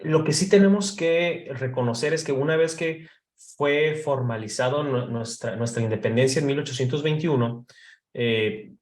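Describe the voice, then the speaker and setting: Mexican man with slight northern accent. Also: mid-range voice. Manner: talkative, many fillers and restarts. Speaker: podcast co-host. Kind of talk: casual panel discussion on masonic history. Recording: roomy echo.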